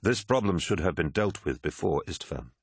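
A man as a low-voiced commanding warlord. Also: lively intonation, husky, no echo, exaggerated voice. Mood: stern. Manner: stern, deliberate. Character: commanding warlord, coolly authoritative and brooding.

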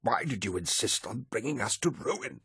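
A man voicing a frail, defiant elderly ruler with a thick antique accent and a raspy voice in an audiobook. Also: medium pitch, very animated, normal speed, a bit bright, no echo, studio quality, voice pushed up, full character voice. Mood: bitter. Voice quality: raspy and gravelly. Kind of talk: audiobook character voice.

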